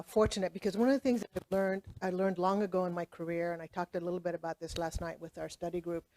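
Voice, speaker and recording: Lower-voiced woman giving advice on a panel. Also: mellow, no echo, rough recording. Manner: earnest, advising. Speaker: panelist, former press secretary. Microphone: handheld microphone.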